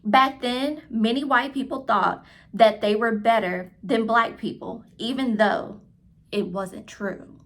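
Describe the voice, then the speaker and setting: A woman's voice: on the high side, slow, fairly bright tone. A woman reading the part of a patient mother who explains things to her child in a storybook. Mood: patient, serious.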